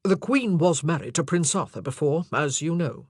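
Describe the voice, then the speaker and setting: A narrator voicing an anguished, impassioned and forceful male monarch in an audiobook drama. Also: mid-range voice, expressive, moderate pace, slightly rough, no room sound, studio quality. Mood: insistent.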